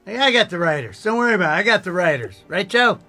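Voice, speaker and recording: A man's voice: sits high, very animated, quick pace, bright, forceful tone, faint music behind. A man chatting casually as an interview guest, a producer telling stories in a joking, wisecracking way. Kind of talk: casual chat.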